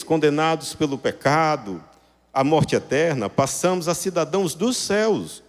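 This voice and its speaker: A man preaching a sermon to a congregation, explaining didactically and rephrasing points with rhetorical questions. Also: a little high. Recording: reverberant hall sound.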